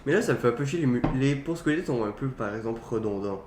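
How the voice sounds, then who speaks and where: Young adult male, Quebec accent, mid-pitched casual conversation with English slang, faint noise and room echo. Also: lively intonation, fast. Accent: Quebec French. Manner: colloquial, drops in English words. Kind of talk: casual chat about films.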